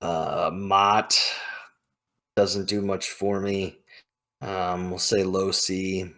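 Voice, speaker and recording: Male video host, lower-pitched, unhurried, casual and opinionated, given to emphatic exaggeration. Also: little pitch movement, bright, forceful tone. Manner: emphatic, hyperbolic, informal slang. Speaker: video host ranking game characters. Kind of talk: casual opinionated commentary, thinking aloud.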